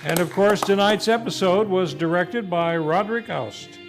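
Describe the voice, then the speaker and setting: A man's voice: sits high, moderate pace. A man hosting a live radio theater show, reading a formal, theatrical sign-off in old-time announcer style. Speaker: series host and announcer. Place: theater stage, live broadcast. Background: live music.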